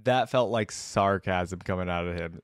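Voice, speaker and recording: A man's voice: deep voice, normal speed, smooth, no echo. A man hosting a reaction video in casual commentary, often repeating words and quoting back what was said.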